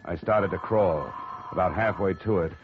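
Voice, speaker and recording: A man acting a wry, hard-boiled insurance investigator in a 1950s radio drama, deadpan and sardonic. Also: sits low, normal speed. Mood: weary narration. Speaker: lead actor, narrator of detective serial. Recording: muffled, hissy 1950 radio recording.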